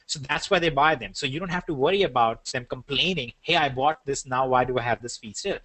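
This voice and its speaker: A man's voice: mid-range voice, fairly quick, fairly bright tone, no room sound. A man with an Indian accent, co-hosting a business webinar and explaining products in a casual, joking way. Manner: joking, then plainly explaining. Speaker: webinar co-host.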